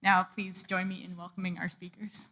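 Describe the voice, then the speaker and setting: A woman's voice: lowish voice, level tone, moderate pace, pushed and bright. A woman hosting a public event reads a scripted, formal introduction of the speakers. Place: public talk in a museum event room. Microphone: event microphone.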